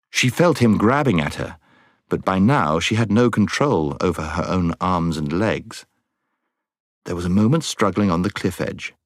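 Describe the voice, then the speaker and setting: A man narrating a storybook as an audiobook reader, with dramatic, measured phrasing. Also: on the low side, no room sound, studio quality.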